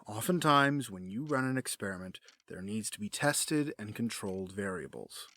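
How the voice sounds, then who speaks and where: A man playing a cold, grieving villain in an audio drama, menacing, sardonic and quick to justify himself. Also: on the low side, lively intonation, fairly bright tone, dry recording. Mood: guarded. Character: cold, obsessed male villain, a grieving widower running experiments.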